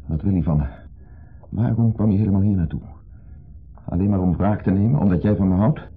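An actor playing an insecure, jealous husband in a 1970s radio play, accusing, brooding and sardonic. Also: male voice, sits low, fairly quick, breathy edge. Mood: bitter, agitated. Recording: muffled phone-like old radio recording.

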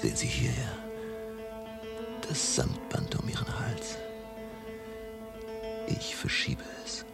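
An actor playing a grave, commanding older professor of medicine in a radio drama, speaking tersely and deliberately with a gravelly voice. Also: male voice, wildly swooping pitch, over loud music, full character voice. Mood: hushed, probing. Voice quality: deep, gravelly. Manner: slow, terse, instructing.

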